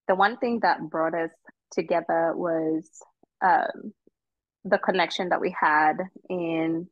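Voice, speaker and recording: A middle-aged woman from Zambia tells her story very slowly and earnestly, pausing on ums. Her voice sits fairly low and sounds dull and lo-fi, dry, recorded on a phone outdoors. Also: no room sound. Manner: unhurried, earnest, with many ums. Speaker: guest. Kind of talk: personal storytelling. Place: outdoors on a footbridge.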